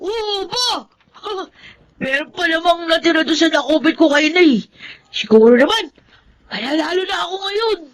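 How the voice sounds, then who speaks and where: Naughty little filipino boy, super high voice, whiny and stubborn, radio drama. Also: animated, moderate pace. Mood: cocky sulky. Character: mischievous young schoolboy, evasive and stubborn.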